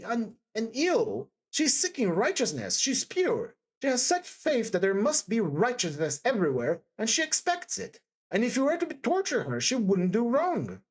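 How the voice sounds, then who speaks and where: A woman narrator performs a timid young woman in deep distress from a classic storybook. Her voice rises high and swings widely as she pleads with fervent compassion. She stammers and repeats herself emphatically. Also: husky, full character voice. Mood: fervent, indignant. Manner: halting, stammering, breathless repetitions and emphatic exclamations. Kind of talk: dramatised audiobook character dialogue.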